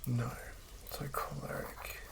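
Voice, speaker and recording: An ASMR host speaking in a hushed, halting whisper, musing aloud through unscripted commentary.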